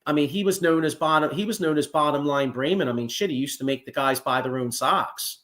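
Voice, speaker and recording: Man in his forties, slightly high voice, excitable, swearing, stumbling over words. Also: fairly quick. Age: 40s. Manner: excitable, profane, stumbling restarts. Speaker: podcast host. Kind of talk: casual sports chat.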